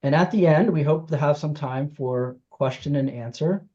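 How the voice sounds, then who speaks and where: A host informally welcoming people to an online meeting, speaking haltingly and searching for words. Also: mid-range voice, warm tone. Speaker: host, justice team director. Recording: dull, lo-fi meeting audio.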